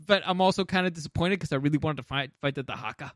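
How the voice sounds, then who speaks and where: A man's voice: on the high side, racing, a bit bright, no echo, clean studio sound. A man co-hosting a podcast in casual, informal chat, with some swearing. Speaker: podcast co-host. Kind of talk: casual podcast chat ranking video game movies.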